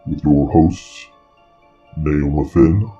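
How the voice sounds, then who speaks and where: A man reading a scripted spooky podcast intro in an ominous, deliberate manner. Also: extremely low pitch, steady tone, mellow, distant mic, highs cut off. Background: music.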